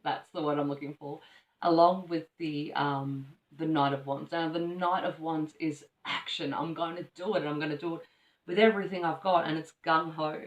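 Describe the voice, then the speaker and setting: A woman giving a casual tarot reading, rambling with many ums and restarts, her voice slightly croaky from allergies. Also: low voice, fairly even intonation, normal speed. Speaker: tarot reader. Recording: echoey room from far off the mic.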